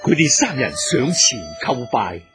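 A male Cantonese narrator tells the story slowly, with a hugely varied, animated pitch. The style is solemn and literary, with music clearly behind.

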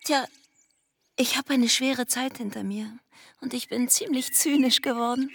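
With faint noise behind her, a flighty young society wife in a radio drama. Her voice is fairly high and theatrical, dreamy and drawn out, with a brittle cynical edge. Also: fairly even intonation, unhurried, creaky. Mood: confiding. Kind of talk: radio play acting.

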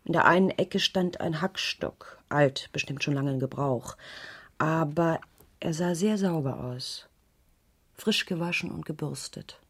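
An actress in a German radio crime play playing an uneasy married schoolteacher who gives a hesitant, detailed account to police. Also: female voice, low voice, a little slow, breathy edge, full character voice. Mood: uneasy, recounting. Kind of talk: 1980s German radio drama dialogue.

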